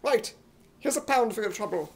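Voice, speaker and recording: A man voicing an excitable, gullible, indignant comic hero in a loud, exclamatory, put-on British accent, in 1950s radio comedy style. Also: high voice, normal speed. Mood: brisk, bold. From United States.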